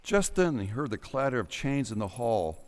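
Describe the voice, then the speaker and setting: A man's voice: mid-range voice, very animated, moderate pace. A man reading scripted narration for an audio drama in a dramatic storytelling style.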